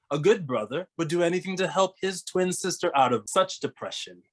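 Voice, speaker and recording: Male actor as young cartoon sleuth, slightly high voice, theatrical, deliberate deductions. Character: young male amateur detective, theatrically explaining the crime. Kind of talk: animated comedy table read, dramatic deduction monologue.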